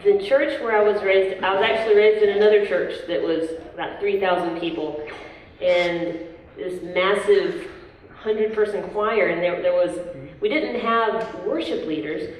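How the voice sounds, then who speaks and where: A young woman with a Southern American accent, a worship leader chatting casually in an interview, self-deprecating and quick to laugh. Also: medium pitch. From southern United States. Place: large hall at a worship event. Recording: echoey hall, voice from far off, faint noise.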